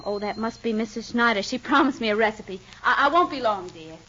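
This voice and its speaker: An actress playing a devoted wife in an old radio soap, speaking gently and a little hesitantly, on a lo-fi vintage recording. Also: female voice, on the high side, expressive, a little fast, slight background noise. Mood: flustered. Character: devoted young wife longing to adopt. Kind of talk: 1940s radio acting.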